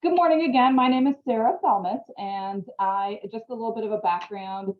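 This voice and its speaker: A woman's voice: on the high side, a little fast, roomy sound. A woman from Trinidad teaches marketing in a friendly, chatty way. She speaks over a video call. The sound is thin and phone-like. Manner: friendly, chatty, laughs easily. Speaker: marketing instructor. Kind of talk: online presentation.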